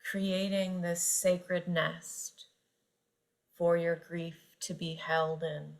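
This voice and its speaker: A woman guiding a yoga nidra meditation, speaking gently and unhurriedly with long pauses between phrases. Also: fairly low pitch, flat delivery, gravelly. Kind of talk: guided meditation narration.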